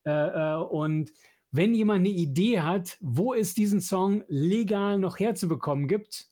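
A man's voice: high voice, measured pace, mellow. A man co-hosting a podcast, chatting casually and explaining things with enthusiasm. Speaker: podcast co-host. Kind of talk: casual chat about audio dramas and films.

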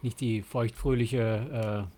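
A man's voice: mid-range voice, fairly even intonation, takes it slowly, warm tone. A man chatting casually as a podcast co-host. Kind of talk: casual chat.

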